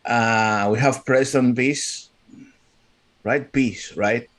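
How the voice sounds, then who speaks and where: A man's voice: mid-range voice, steady tone, very slow, a bit bright. A native Greek man with a Greek accent, casually hosting a livestream chat. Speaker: livestream host.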